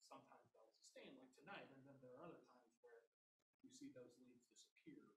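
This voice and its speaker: A man's voice: medium pitch, a little fast, fairly bright tone. A man, a reporter, asking a probing question at a press conference. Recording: distant roomy mic with hiss.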